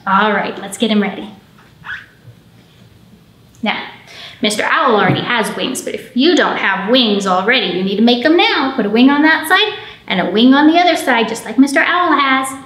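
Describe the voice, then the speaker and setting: A woman in her thirties hosting a children's storytime, reading aloud in an animated, encouraging way. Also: on the high side, normal speed, pauses often, reverberant, poor audio. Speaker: children's librarian and storytime host. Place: indoor library storytime room.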